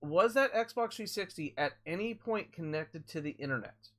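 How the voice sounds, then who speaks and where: A man live-streaming a game, with a voice a little higher than most men's. He explains tech questions to viewers in a loose, rambling way, often restarting mid-sentence and stacking fillers. His tone is laid-back and chatty. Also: lively intonation, normal speed, a bit bright. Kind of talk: casual live-stream chat answering viewers.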